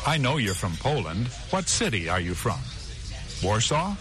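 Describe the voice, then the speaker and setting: A man acting a friendly news reporter in a scripted lesson dialogue, speaking clearly and patiently. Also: mid-range voice, very animated, normal speed, over music, close to an everyday voice.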